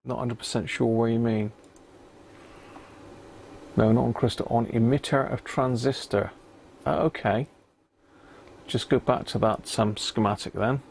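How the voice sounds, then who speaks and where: A man's voice: medium pitch, fairly even intonation, unhurried, creaky, pauses often, slight background noise. A British man giving casual live commentary as a hobbyist repairer, muttering and thinking aloud as he works. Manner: muttering to himself while working, thinking aloud. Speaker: livestream host, radio repair hobbyist.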